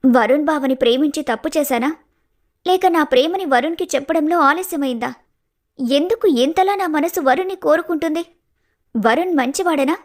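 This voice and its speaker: A high, youthful female voice acted by a woman plays a gentle young village woman in a cartoon family tale. She is heartbroken and earnest, questioning herself with quiet sorrow. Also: normal speed, lightly acted. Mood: sad, questioning. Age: young adult. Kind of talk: animated family story dialogue.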